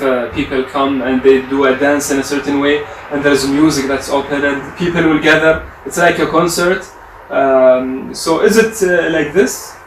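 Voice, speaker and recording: A man's voice: fairly high pitch, recorded from a distance. A male interviewer whose first language is Arabic, asking long, rambling questions full of hesitations. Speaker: interviewer, host. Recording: roomy, off-mic, faint noise.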